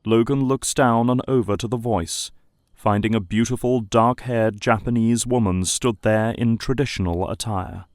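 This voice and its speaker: A man's voice: fairly low pitch, fairly even intonation, moderate pace, darkish tone, no room sound, clean studio sound. A man reading scripted narration for an audio drama.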